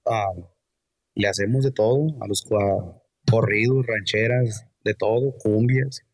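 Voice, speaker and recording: Hesitant, soft-spoken man in a dry recording. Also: fairly low pitch, steady tone, unhurried, no room sound. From California, US. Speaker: guest musician. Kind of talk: casual interview.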